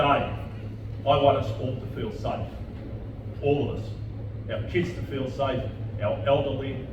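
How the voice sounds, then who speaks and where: Earnest man speaking in an echoey hall. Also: mid-range voice. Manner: earnest, emphatic, in short repeated phrases. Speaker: mayoral candidate. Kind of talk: public campaign speech. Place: large echoey function room. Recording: echoey room, distant muffled mic, background noise.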